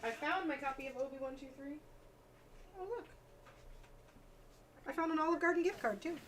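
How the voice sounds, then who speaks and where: A woman's voice: high-pitched, normal speed, a bit bright, slight background noise. A woman hosting a livestream, switching between informal chat with viewers and reading children's bedtime stories aloud. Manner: informal, chatty, addressing viewers directly. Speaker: livestream host.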